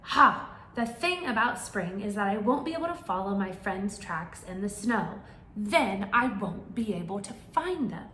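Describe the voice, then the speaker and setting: A woman's voice: mid-range voice, lively intonation, measured pace, sharp tone, reverberant. Cranky rabbit, woman acting it out, grumbling, complaining, children's storybook character, slight background noise. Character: grumbling, cranky rabbit who dreads spring.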